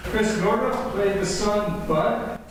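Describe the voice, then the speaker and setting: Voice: medium pitch, slow pace. A presenter making a closing cast announcement to a live audience in a hall. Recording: distant, very echoey hall, loud noise. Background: loud crowd noise.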